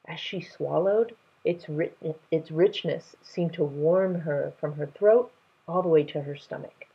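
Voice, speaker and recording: A young woman reads a story aloud in a low, husky voice. Her delivery is lively and expressive. The sound is a little dull, like a webcam in a room at home. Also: unhurried. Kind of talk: expressive reading of a children's novel.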